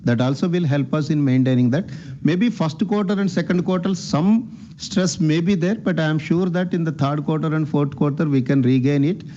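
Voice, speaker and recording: A man's voice: a little high, normal speed, dark tone. An Indian man speaking as a bank executive on an earnings call, deferential and repeating himself as he answers. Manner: deferential, repeats himself, often says "sir". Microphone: conference call line. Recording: dull, band-limited conference call line.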